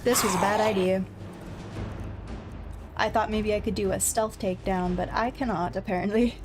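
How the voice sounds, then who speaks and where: A woman's voice: medium pitch, fairly even intonation, moderate pace, music in the background. A woman giving casual, chatty gameplay commentary, thinking aloud with playful little exclamations. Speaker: gamer streaming a blind play-through.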